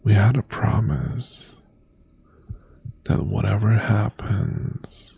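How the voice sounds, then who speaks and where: Casting: male actor as menacing, obsessive crime-boss ex-lover for ASMR roleplay; low-pitched, heavily creaky half-whisper, possessive and tender. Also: animated, slight background noise, exaggerated voice. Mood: coaxing, possessive. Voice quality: gravelly, breathy half-whisper. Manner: slow, deliberate, softly threatening. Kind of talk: boyfriend ASMR roleplay, intimate monologue.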